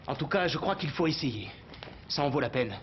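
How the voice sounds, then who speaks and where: A man's voice: fairly high pitch, animated, a little fast, a little hiss or hum, natural voice. A man voicing a resolute, earnest rebel leader in a French-dubbed cartoon. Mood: commanding. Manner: commanding, earnest. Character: resolute heroic male leader of rebel cadets. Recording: dull lo-fi TV audio under music.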